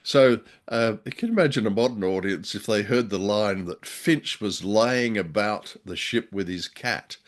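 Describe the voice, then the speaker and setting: A man co-hosting a podcast in casual discussion, speaking in a jokey, haltingly phrased way. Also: fairly low pitch. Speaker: podcast co-host. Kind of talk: casual discussion of a radio drama.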